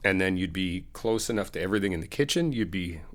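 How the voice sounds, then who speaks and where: A man's voice: low voice, lively intonation, fast talker. Laughing, chatty man playing a best friend. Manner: chatty, laughs often, teasing and upbeat. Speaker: ASMR roleplay creator playing a best friend. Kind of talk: casual friendly roleplay chat to the listener.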